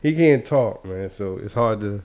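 A man's voice: fairly low pitch, expressive, a little slow, darkish tone. Young man, podcast host, congested, stuffy nose, laid-back slang. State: congested from a cold. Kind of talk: casual podcast chat.

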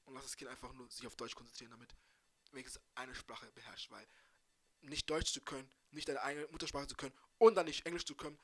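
A man's voice: medium pitch, fairly even intonation, fast, fairly bright tone. A man in a casual group discussion who talks emphatically, his voice rising on his main points.

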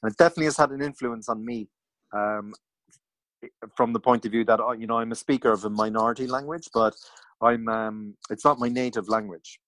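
A man's voice: mid-range voice, brisk, fairly bright tone, dry recording, low-quality recording. A man hosting an interview in a casual, hesitant way, with many fillers and restarts. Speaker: podcast host.

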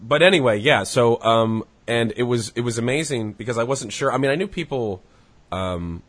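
A man's voice: mid-range voice, moderate pace, fairly bright tone. A young man hosting a podcast, talking in a casual, earnest way with many hesitations, sounding congested. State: congested, under the weather. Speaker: podcast host.

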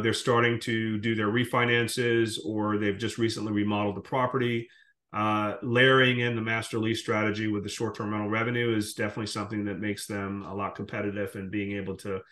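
A roomy, echoing recording of a man from the Caribbean with a slightly low voice. He talks conversationally as an interview guest, laying out business details in an explanatory way. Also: fairly bright tone. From Caribbean, born in Guyana and raised in Jamaica. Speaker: podcast guest, short-term rental investor.

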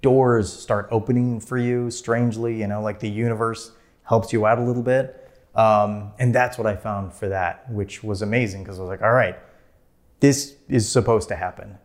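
A man's voice: fairly low pitch, steady tone, moderate pace. A man in his thirties, a filmmaker guest, telling his story in a casual interview with lots of fillers and easy laughs. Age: 30s. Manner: rambling, many "like" fillers, laughs easily.

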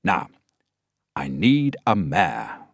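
A man voicing a bossy, showy male film director in an audiobook, brisk and commanding. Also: low voice, wildly swooping pitch, vocal fry, husky, dry recording, clean studio sound, heavily acted.

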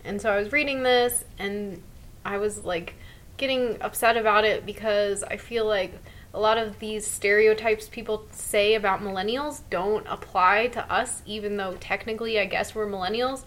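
A woman's voice: mid-range voice, fairly even intonation, normal speed, a bit bright. Earnest woman in her thirties chatting. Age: 30s. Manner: earnest, upbeat, frequent "like". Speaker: podcast co-host. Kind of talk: casual chat.

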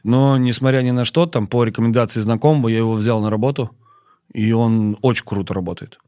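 A man speaking as a chef and guest on a podcast, in a measured, firm, matter-of-fact manner. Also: mid-range voice, fairly even intonation, warm tone, no room sound, studio quality. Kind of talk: podcast interview talk. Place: podcast studio.

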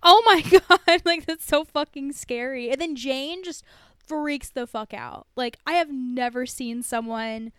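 A woman's voice: high-pitched, measured pace, smooth, no room sound, clean studio sound. A woman co-hosting a podcast in casual chat about a TV show, informal and sweary, saying "like" constantly. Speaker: podcast co-host.

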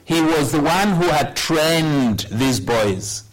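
A man in his sixties preaching a sermon, telling a personal story in a measured way with wry humor. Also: fairly high pitch, expressive, low-quality recording. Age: 60s. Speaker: pastor.

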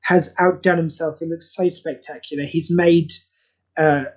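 A man's voice: a little high, fairly even intonation, a little slow, dull audio. A man hosting a podcast, chatting casually and self-deprecatingly, rambling with many restarts. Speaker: podcast host and audio play creator.

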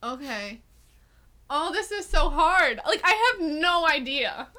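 A woman chatting casually on a vlog, giggly and animated. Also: sits high, unhurried. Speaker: vlogger. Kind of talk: casual chat.

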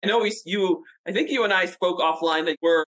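A Russian-born woman in her forties hosts a podcast chat, upbeat and quick to laugh. She restarts her sentences often and keeps the talk casual. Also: on the low side, fairly bright tone, low-quality recording. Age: 40s. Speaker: podcast host.

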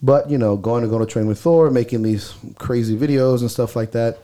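A man's voice: medium pitch, fairly quick, dark tone. A man co-hosting a podcast, talking bluntly and swearing freely, with a stuffy nose, recorded on a close mic. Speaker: podcast co-host. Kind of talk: casual podcast chat.

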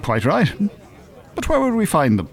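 A wry, well-spoken older gentleman, animated. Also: a little high, moderate pace, gravelly, full character voice. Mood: amiable. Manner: formal, wordy, drily mocking. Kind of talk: radio drama dialogue.

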